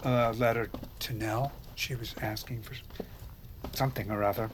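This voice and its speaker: An actor playing a frail, sleepy President in an audio drama, halting and vague as he mumbles. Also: medium pitch, steady tone, background noise, natural voice. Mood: drowsy, vague.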